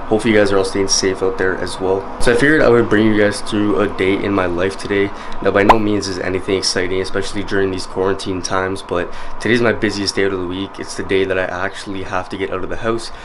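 A man's voice: low voice, little pitch movement, fast talker, hardly any pauses, background noise. A young man in his twenties talking casually to his camera in a bedroom, an easygoing fitness vlogger. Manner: easygoing, chatty, lots of "guys". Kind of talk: casual vlog talk to camera. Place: small bedroom indoors, later a parked car.

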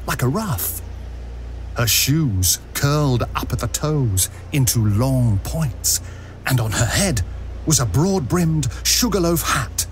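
A man's voice: fairly high pitch, slight background noise. A man narrating a ghostly tale for an audio drama in a theatrical storytelling manner.

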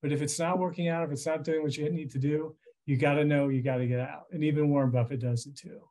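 A man in his fifties with an American accent and a fairly high-pitched voice. He explains things informally as a financial commentator, speaking conversationally over a video call from a room at home. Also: steady tone, fast, warm tone. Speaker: financial journalist interviewed as expert. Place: small furnished room at home.

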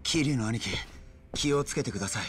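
A man's voice: mid-range voice, brisk, a bit bright, distant mic, a little hiss or hum, close to an everyday voice. A male actor playing an earnest, deferential young yakuza underling in a video game. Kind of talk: dramatic video game acting.

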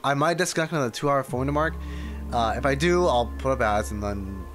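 A young man chats to his live stream while playing a game. His delivery is lively and rambling, jumping around in pitch, with faint game music behind him. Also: brisk. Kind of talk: casual live gameplay reaction. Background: video game music.

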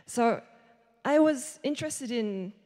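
A woman's voice: a little high, expressive, slow pace, warm tone. A young woman from Japan, an artist giving a conference talk into a handheld microphone, chatty, with many "like"s and restarts. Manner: chatty, lots of likes and restarts. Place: large hall with seated audience. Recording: long hall reverb.

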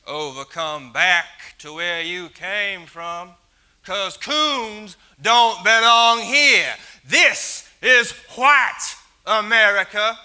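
Guy doing a nasty bomber, slow drawl, mocking, crisp bright voice. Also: sits high, heavily acted. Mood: hateful. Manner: taunting, mocking, swings from muttering to shouting. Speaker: actor in a church skit. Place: church stage with audience.

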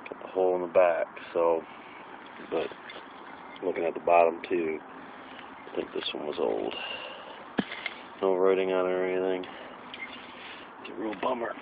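A man chatting excitedly while digging for old bottles in the woods, recorded on a handheld camera. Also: low-pitched, normal speed, pauses often. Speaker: metal detecting vlogger. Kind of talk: casual outdoor vlog narration. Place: wooded area outdoors. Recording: tinny lo-fi camera audio with noise.

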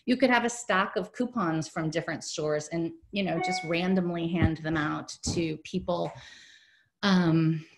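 A woman's voice: fairly low pitch, unhurried, faint music behind. Chatty woman on a video call. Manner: chatty, filler-heavy, upbeat. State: hoarse, losing her voice. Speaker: program director presenting a webinar. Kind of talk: casual webinar presentation. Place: home room, indoors. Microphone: video call, laptop mic.

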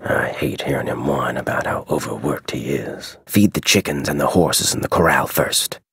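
An actor playing a gruff, guarded head wrangler in a video game, with a terse, folksy American Western drawl. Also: male voice, a bass voice, moderate pace, fairly bright tone, dry recording, studio quality, full character voice. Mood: gruff, instructing. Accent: American Western cowboy drawl. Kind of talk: scripted game dialogue.

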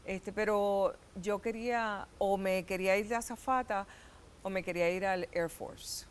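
Animated Puerto Rican woman telling stories. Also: mid-range voice, unhurried. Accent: Puerto Rican Spanish. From Puerto Rico. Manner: animated storytelling with gestures, laughs and acted-out quotes. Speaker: actress and guest. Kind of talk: TV studio interview. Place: TV studio set.

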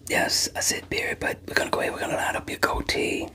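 A man's voice: fast talker, bright, forceful tone. A middle-aged or older man whispers breathily in an ASMR barbershop roleplay, chatting unhurriedly and reassuringly to a client. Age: 50s or older. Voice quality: breathy, mostly unvoiced whisper. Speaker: ASMR creator role-playing a barber. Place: small room at home, indoors.

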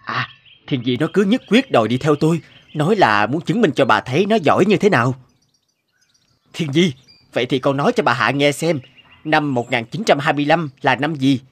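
A man's voice: fairly high pitch, expressive, brisk, breathy edge. A man voicing a proud, demanding father in a cartoon, with an emphatic manner. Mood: boastful. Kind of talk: cartoon voice acting.

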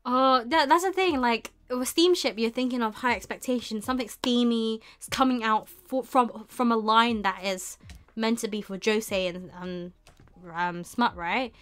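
A young woman hosting a casual live stream, thinking aloud in an unhurried way with murmured asides. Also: a little high, a bit bright, clear, resonant tone. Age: young adult. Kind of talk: casual chat while shopping online. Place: small indoor room with bookshelves.

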